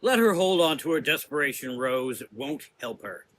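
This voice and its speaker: A man playing a menacing, cerebral gothic sorcerer in an audio drama, lecturing deliberately in drawn-out, taunting phrases. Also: medium pitch, big pitch swings, a bit bright, no room sound. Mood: threatening.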